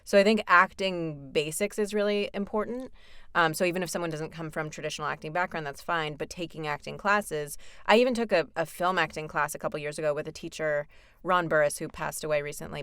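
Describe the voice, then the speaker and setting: Woman with a low, smooth voice. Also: fairly bright tone, dry recording, studio quality. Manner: chatty, with laughs and frequent fillers. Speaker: voice actor guest. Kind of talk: casual interview chat.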